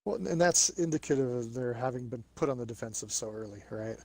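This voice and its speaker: A man's voice: mid-range voice, animated, fast talker. A man giving conversational analysis as co-commentator on a live esports match.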